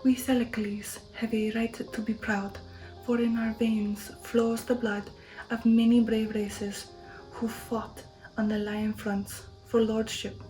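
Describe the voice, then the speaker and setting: A woman takes the part of an old vampire count in a gothic audiobook, proud and stately. The delivery is soft and half-whispered with a dark, mellow tone. Its pitch stays quite even as the count declaims. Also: takes it slowly, faint music behind, rough recording. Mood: solemn pride. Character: proud old male vampire count boasting of warlike ancestry. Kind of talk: ASMR audiobook reading of a gothic novel.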